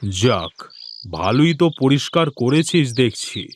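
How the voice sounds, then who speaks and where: A man's voice: medium pitch, sing-song, a little slow, background noise. A man voicing a greedy, bullying village headman, domineering and menacing, in a cartoon. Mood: sly, commanding. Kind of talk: cartoon voice acting.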